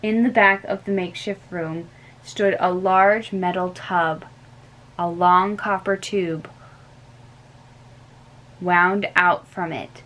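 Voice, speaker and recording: A young woman reading a storybook aloud in a slow, careful way with small stumbles, close to a webcam in a bedroom. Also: on the low side, fairly even intonation, a bit bright, low-quality recording. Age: young adult. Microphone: webcam at close range.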